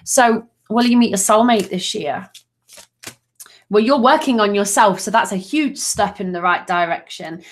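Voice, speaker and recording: A middle-aged woman, talking in a gentle, soothing, affectionate way. Her voice sits at an ordinary pitch. She chats informally and fills her speech with little hesitations and endearments. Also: unhurried. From Nottingham, England. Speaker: angel card reader. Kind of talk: live card reading, chatty.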